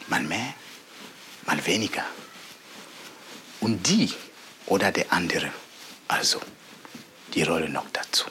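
An actress playing a brooding cultural worker, musing in short, fragmented spoken-word phrases. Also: female voice, extremely low pitch, very animated, slow, long pauses, pushed and bright, a little hiss or hum, full character voice. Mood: brooding, detached.

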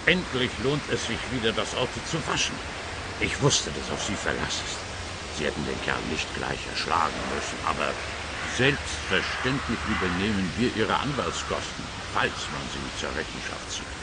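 A man's voice: low voice, sing-song, moderate pace. A man playing a jovial, self-satisfied client in a radio play. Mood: gleeful. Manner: jovial, hesitant asides. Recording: loud vehicle-like background noise.

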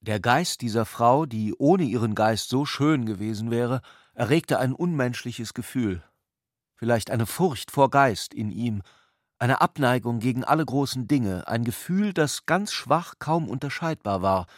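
A man performing as the contemplative narrator of a literary radio drama, with deliberate, reflective phrasing. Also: mid-range voice, expressive, no room sound, studio quality, lightly acted.

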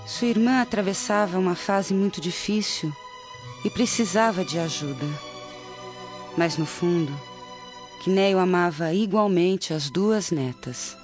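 Earnest Brazilian aunt over loud music woman acting. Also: on the low side, unhurried, lightly acted. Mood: earnest, confiding. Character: compassionate, grave Roman aunt confiding family troubles. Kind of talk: Brazilian radio drama acting. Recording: dull radio drama, loud music behind.

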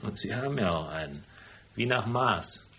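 An actor playing a shrewd, unflappable private detective in a radio crime drama, speaking in a dry, deliberate way. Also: male voice, lowish voice, expressive, pushed and bright. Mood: pressing, blunt. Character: cool, laconic hardboiled male private detective, shrewd and unflappable. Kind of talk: German radio crime drama acting with first-person narration.

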